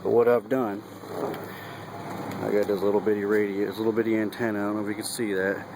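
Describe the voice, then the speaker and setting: A man's voice: on the low side, flat delivery, quick pace. A man giving a casual, folksy how-to walkthrough in and around a vehicle, talking in colloquial speech to a handheld camera. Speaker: truck driver and hobbyist demonstrator. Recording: noisy vehicle and engine hum.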